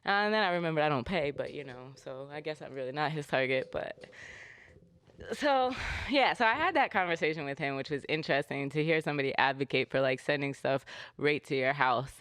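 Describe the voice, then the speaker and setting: Male podcast host, a touch higher-pitched, talks loose and filler-heavy with animated asides. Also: a little fast, clean tone, dry recording. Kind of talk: casual podcast talk.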